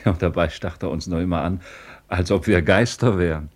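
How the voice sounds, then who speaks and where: An actor playing a jovial young bridegroom who teases with mock respect in a 1950s radio play. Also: male voice, deep voice, measured pace. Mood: teasing, merry. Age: young adult.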